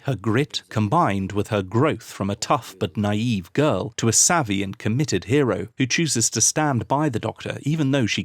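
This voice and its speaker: A man narrating a scripted analytical video essay in a formal, measured, literary manner. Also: on the low side, no echo, studio quality.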